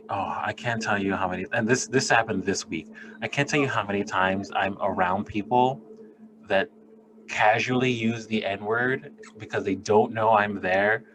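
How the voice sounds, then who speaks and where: A man's voice: on the low side, rough recording. A man co-hosting a podcast, talking in a casual, earnest, reflective way and swearing freely. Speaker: podcast co-host, doctor. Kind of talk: casual conversation.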